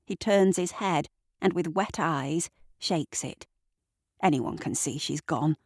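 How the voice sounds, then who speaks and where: A woman reading scripted literary narration for an audio drama in a deliberate, dramatic storytelling manner. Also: deep voice, no echo, clean studio sound.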